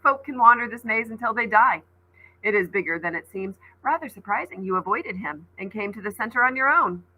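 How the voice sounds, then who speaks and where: A woman's voice: medium pitch, expressive, brisk, pushed and bright, rough recording. A woman voicing a sinister, boyish supernatural trickster in a storybook, taunting and smug in a theatrical way. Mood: smug, dismissive.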